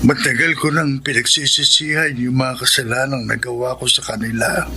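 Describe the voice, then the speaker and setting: An actor playing a humble, remorseful old grandfather in a Filipino radio drama, speaking haltingly and plaintively. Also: mid-range voice, steady tone, pushed and bright. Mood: remorseful, pleading. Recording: music bed behind the voice.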